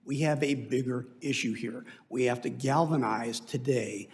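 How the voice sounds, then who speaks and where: A man with an average-pitched voice testifies before a committee. His delivery is formal and measured, and he leans on key phrases for emphasis. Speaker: industry association witness testifying at a hearing. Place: indoor committee hearing room.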